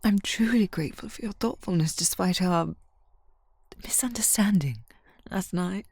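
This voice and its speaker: A woman playing a genteel but secretly jealous scheming mistress in an audio drama, with hesitant, deliberate delivery. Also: on the low side, darkish tone, husky, dry recording, clean studio sound, exaggerated voice. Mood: polite, reluctant. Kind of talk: scripted ASMR audio roleplay.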